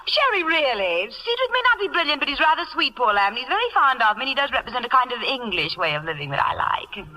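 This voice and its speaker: An actress playing a gushing, affected glamorous socialite, effusive and melodramatic, in a 1940s radio comedy. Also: female voice, sits high, very animated, fast talker, bright, forceful tone, exaggerated voice, rough recording. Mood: affectedly sweet. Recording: vintage radio broadcast recording.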